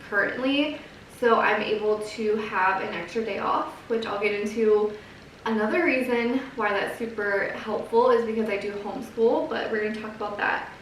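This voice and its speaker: A woman in her thirties, a home vlogger and mom, chatting casually in a soft, quiet, gentle voice. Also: a little high, a little hiss or hum. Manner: gentle, earnest, chatty with fillers. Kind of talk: casual chat to camera. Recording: very echoey room, from a distance.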